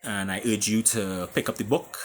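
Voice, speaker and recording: A man with a Caribbean accent reading his own story aloud in a theatrical way, with deliberate pauses. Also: lowish voice, fairly even intonation, slight background noise. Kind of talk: dramatic storytelling.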